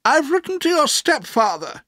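A narrator voicing a stern, sharp-tongued older woman, an aunt with an emphatic, commanding manner, in a storybook reading. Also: high-pitched, very animated, normal speed, no room sound, studio quality, pitched above the performer's own voice, exaggerated voice. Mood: firm.

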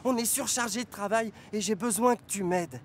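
A man's voice: high-pitched, normal speed, a little hiss or hum, lightly acted. A man voicing a polite, hard-working steam engine in a children's cartoon, courteous and mild. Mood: hopeful. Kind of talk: dubbed children's cartoon acting.

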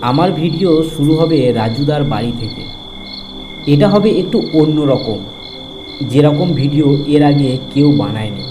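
A man's voice: on the high side, expressive, moderate pace, background noise, close to an everyday voice. A man narrating a first-person ghost story as a young vlogger, in a scripted storytelling style. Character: young male vlogger telling his ghostly tale. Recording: night insect ambience over voice.

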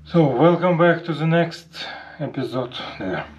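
A man in his thirties filming himself inside a brick farmhouse he is renovating, explaining the work in a plain, halting way on a handheld camera. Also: a little high, expressive, slightly rough, dull audio. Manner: plain, halting, stops to point out details. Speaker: home renovator. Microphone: action camera held at arm's length.

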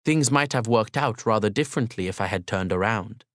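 A man narrating a literary audiobook in the first person, in a formal, measured and polite manner. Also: on the low side, fairly bright tone, dry recording.

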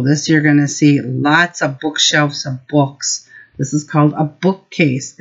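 Slow, expressive woman teaching young children. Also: deep voice. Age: middle-aged. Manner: simple, expressive, instructive. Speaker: preschool teacher. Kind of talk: story time for young children, explaining words and reading aloud. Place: small room at home. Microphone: built-in webcam or laptop mic. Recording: dull, lo-fi webcam audio.

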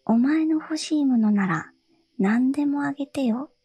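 A woman voicing a tearful, pleading young princess in a soft whisper for a storybook reading. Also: high-pitched, moderate pace, dry recording, voice pushed up, lightly acted. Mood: coaxing.

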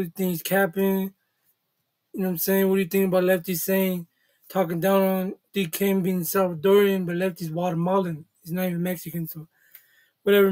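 A man's voice: sits high, a little mellow. A man in his thirties hosting a reaction video, talking in laid-back street slang in a small room. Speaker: reaction channel host. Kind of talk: casual reaction commentary. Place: small indoor room, seated at a desk.

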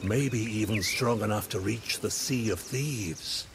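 An actor playing a hearty, welcoming old ghostly pirate lord in a video game, with theatrical storytelling delivery. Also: male voice, lowish voice, takes it slowly, gravelly, background noise. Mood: warm, encouraging. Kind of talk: scripted video game character acting.

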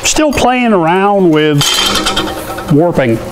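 Male host, fifties, slightly high voice, folksy casual how-to delivery, light shop noise behind. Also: lively intonation, slow pace. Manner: folksy, conversational. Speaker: artist and welding tips host. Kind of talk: casual hands-on tutorial. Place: indoor cluttered metal workshop.

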